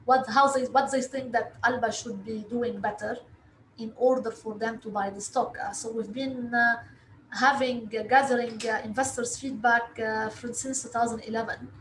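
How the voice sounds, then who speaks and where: A woman from Lebanon, a native Arabic speaker, answering interview questions in a halting way with frequent filled pauses. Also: a little high, fairly even intonation, a bit bright. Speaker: interview guest, investor relations director. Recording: echoey room with faint background noise.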